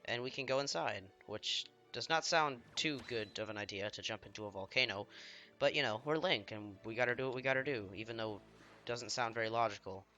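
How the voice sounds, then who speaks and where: Man, slightly hoarse, bright forceful tone, rambling casual gameplay talk, self-deprecating. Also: medium pitch, a little fast, faint music behind. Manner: rambling, self-deprecating, trailing off mid-sentence. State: slightly hoarse, under the weather. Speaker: let's play gamer.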